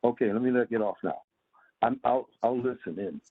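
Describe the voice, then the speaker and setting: Husky older American man on phone. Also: medium pitch, moderate pace, no echo. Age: 70s. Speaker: imam, community member calling in. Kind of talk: public comment at a community meeting. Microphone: telephone call. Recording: narrow, tinny phone line.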